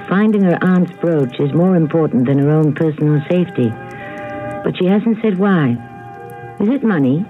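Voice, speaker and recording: A man narrating a scripted 1970s radio mystery as host, measured, dramatic and suspenseful. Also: fairly high pitch. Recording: tinny, narrow vintage radio broadcast.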